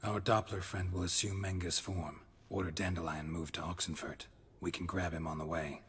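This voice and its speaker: Game role: stoic middle-aged monster hunter, gravelly bass register, clipped dry delivery. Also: male voice, moderate pace, slight background noise. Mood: businesslike. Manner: terse, clipped, deadpan. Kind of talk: video game cutscene dialogue.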